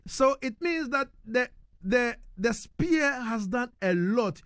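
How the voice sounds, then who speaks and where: Animated man interviewing, pitch swinging widely. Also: slow, a little hiss or hum. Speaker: radio interviewer and host. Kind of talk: conversational interview.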